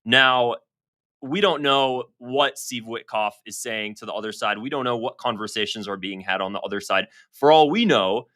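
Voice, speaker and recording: Bright-voiced man giving casual political commentary. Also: medium pitch, moderate pace. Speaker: online political commentator and host. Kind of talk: casual news commentary, reading quotes aloud to viewers.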